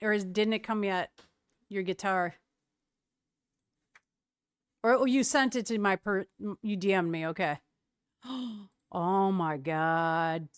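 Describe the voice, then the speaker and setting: A woman's voice: mid-range voice, slow, pauses often, clean tone, no room sound, studio quality. A young woman from Wisconsin with a slight Wisconsin accent, chatting casually with her stream's chat and laughing often.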